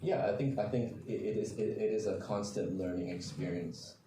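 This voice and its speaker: A man, a voice coach, speaking on a convention panel in an animated way, often restarting sentences. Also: fairly low pitch, normal speed. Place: large convention hall. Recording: echoey hall, distant mic, faint crowd noise.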